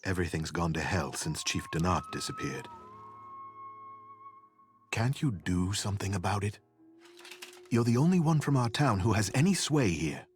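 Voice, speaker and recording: A man's voice: deep voice, lively intonation, moderate pace, pauses often, soft background music. An actor playing a weary, complaining working townsman in a fantasy video game. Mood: weary, pleading. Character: weary, complaining small-town water hauler. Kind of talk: game dialogue acting.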